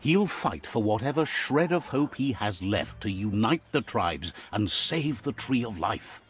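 A man narrating an animal fable in a video game as a storyteller, with a measured, expressive delivery. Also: fairly low pitch, a little hiss or hum. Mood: measured storytelling. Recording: music behind the voice. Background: background music.

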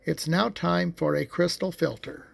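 A man giving an informal, matter-of-fact technical demonstration as a technician, speaking somewhat haltingly. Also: a little high, fairly even intonation, gravelly.

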